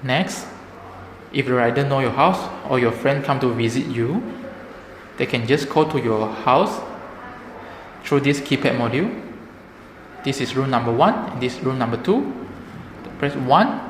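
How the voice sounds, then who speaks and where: A man's voice: medium pitch, measured pace, long pauses. A man presenting a product demonstration, explaining things simply, step by step. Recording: echoey reverberant room, background noise.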